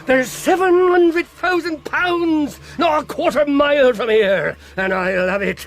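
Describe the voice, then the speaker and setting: Gravelly, cunning old pirate ringleader. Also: male voice, extremely high pitch, measured pace. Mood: defiant, commanding. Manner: rolling rustic pirate speech, wheedling then commanding. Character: cunning, cajoling one-legged pirate ringleader, older man. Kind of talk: radio drama acting.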